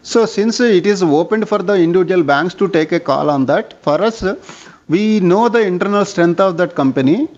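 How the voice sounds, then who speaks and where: A man's voice: high voice, expressive, moderate pace, darkish tone. A man with an Indian accent answering analysts' questions formally as a bank executive on an earnings call. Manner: formal, often addressing listener as sir. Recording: dull lo-fi conference call audio.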